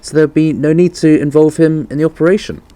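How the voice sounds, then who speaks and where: A man reading the part of a sensible, reasoning aunt in a children's storybook, with brisk, logical emphasis. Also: fairly high pitch, steady tone, warm tone, voice pushed up, close to an everyday voice.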